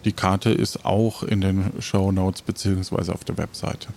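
A man's voice: low-pitched, little pitch movement, a little slow, darkish tone, slight background noise. A man acting as game master, improvising the narration of an audio adventure game and answering a player.

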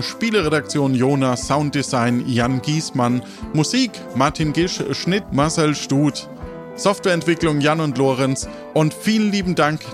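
A man hosting an improvised audio adventure podcast as game master, talking casually to players and listeners. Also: medium pitch. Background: light music with guitar.

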